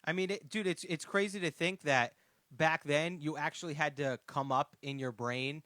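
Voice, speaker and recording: A man's voice: a little high, moderate pace, bright, forceful tone, no echo, studio quality. A man in his mid twenties co-hosting a podcast, talking in a laid-back, casual way. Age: 20s. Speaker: podcast co-host.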